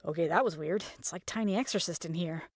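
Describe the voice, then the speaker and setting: A woman's voice: fairly low pitch, fast, no room sound, clean studio sound, pitched below the performer's own voice, close to an everyday voice. A woman voicing a nervy, slangy young female character in an audio story, rambling, incredulous and wry. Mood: wry, unnerved.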